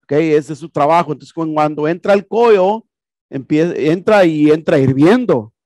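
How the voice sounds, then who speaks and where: A man's voice: on the high side, a little slow, a little mellow, no echo, clean studio sound. Man teaching HVAC, chatty. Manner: casual, repetitive explaining with rhetorical questions. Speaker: HVAC instructor. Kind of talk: classroom lecture explaining a projected video. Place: classroom with projector screen.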